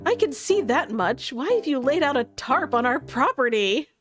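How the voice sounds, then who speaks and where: Woman as a haughty, high-voiced little girl. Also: very animated, normal speed, a bit bright, faint music behind. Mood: indignant. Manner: prim, indignant, demanding.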